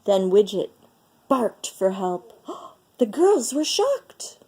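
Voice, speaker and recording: A woman reads a children's story aloud with a lively, swooping melody. Her voice is mellow and she takes her time, leaning into the phrases with dramatic, deliberate delivery. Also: mid-range voice, husky. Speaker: storyteller reading a picture book aloud. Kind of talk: expressive children's read-aloud.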